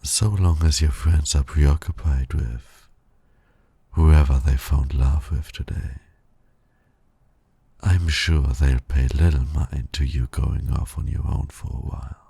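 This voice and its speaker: A man playing a suave, teasing supervillain in a flirtatious ASMR romance roleplay, gravelly and breathy, purring and often dropping to a whisper. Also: very deep voice, steady tone, slow, dark tone, no room sound, full character voice. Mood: coaxing, seductive. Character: suave, teasing male supervillain courting his hero nemesis.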